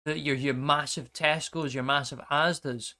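A man's voice: a little high, steady tone, normal speed, bright, forceful tone. A man who grew up in Scotland, chatting casually as co-host and rambling with self-corrections. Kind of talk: casual podcast chat.

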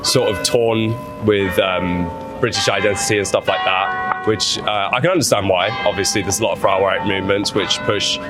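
A man's voice: on the low side, fairly even intonation, moderate pace, over loud music, rough recording. A young man being interviewed in a casual street conversation, hesitant, with many fillers and restarts. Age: young adult. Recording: crowd noise of a busy street.

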